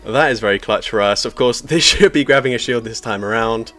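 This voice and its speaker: A man giving energetic shoutcaster-style commentary on a live-streamed game, laughing often as he talks. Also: fairly quick. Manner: energetic shoutcaster delivery, laughs often, lively pitch jumps. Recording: music playing faintly behind.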